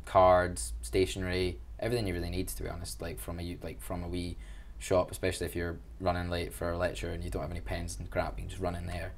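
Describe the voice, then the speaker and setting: A young man with a Glaswegian accent, chatting enthusiastically about student union events in an interview, with a self-deprecating humor. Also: low voice. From Glasgow, Scotland. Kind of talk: casual interview answers.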